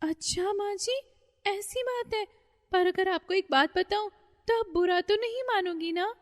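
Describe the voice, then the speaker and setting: Sharp bossy young bahu type, woman voicing her, loud and high, reverb on it. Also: measured pace. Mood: sly. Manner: sharp, shouting. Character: defiant young daughter-in-law, sharp and scheming. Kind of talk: cartoon story voice acting.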